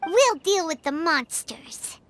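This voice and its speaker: A woman voicing a tiny childlike fairy companion in a video game, squeaky, eager and caring. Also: expressive, slow, a bit bright, exaggerated voice. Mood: reassuring.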